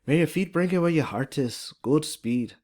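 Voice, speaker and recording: Man voicing a hearty Irish farm wife, pitched up. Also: normal speed, a little mellow, lightly acted. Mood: tender, hearty. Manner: folksy, hearty dialect speech. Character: kindly, brisk Irish farm wife, warm and wry.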